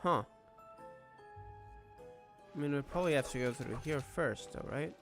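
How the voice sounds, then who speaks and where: A man giving casual playthrough commentary as a streamer, thinking aloud in a low-key, self-deprecating way. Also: a little slow, fairly bright tone. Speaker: gaming streamer. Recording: game music behind the voice.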